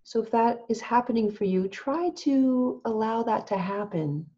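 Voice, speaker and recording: Very slow, mellow woman guiding meditation. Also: fairly high pitch, highs cut off. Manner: calm, gentle, measured with long pauses. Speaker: meditation teacher. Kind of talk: guided meditation instruction.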